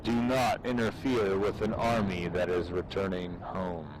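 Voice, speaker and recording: A man giving a solemn, deliberate dramatic reading of a military treatise, with pauses between phrases. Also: low-pitched, level tone, noisy background. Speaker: amateur reader performing for fellow gamers.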